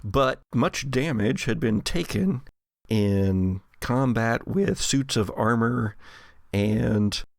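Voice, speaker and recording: A man hosting a tabletop game podcast as game master, mixing dramatic, deliberate narration with casual, dryly humorous chat. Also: mid-range voice, vocal fry, no room sound, studio quality. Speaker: host and game master of an actual play podcast.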